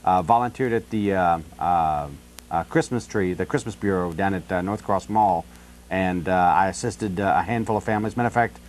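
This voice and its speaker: An American man in a casual phone conversation, talking in a halting, rambling way with frequent "you know". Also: low voice, a little hiss or hum. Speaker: city council candidate on a phone call. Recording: telephone call.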